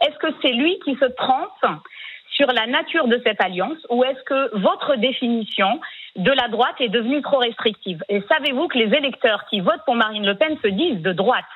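Woman in her forties from Algeria, caller, slightly higher pitch, tinny phone line. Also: animated, normal speed, slightly rough. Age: 40s. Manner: flustered, apologetic, then reads question. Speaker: radio caller.

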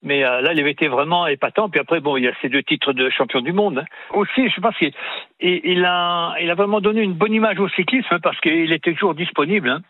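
A man's voice: a little high, fast, dry recording. A man interviewed for the radio, reminiscing hesitantly with many fillers, heard over the phone. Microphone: phone line. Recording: tinny phone line, dry.

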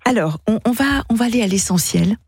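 A woman hosting a late-night radio phone-in, gentle and teasing, hesitating often. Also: fairly high pitch, expressive, a little fast, breathy edge, soft background music. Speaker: radio host. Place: radio studio.

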